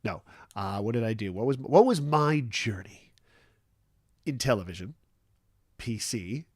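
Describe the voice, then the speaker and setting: A man's voice: lowish voice, big pitch swings, a little slow, a little mellow, no echo, studio quality. A man hosting a casual morning livestream chat, stuffed up and nasal from a cold, rambling easily with lots of fillers and restarts. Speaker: livestream host.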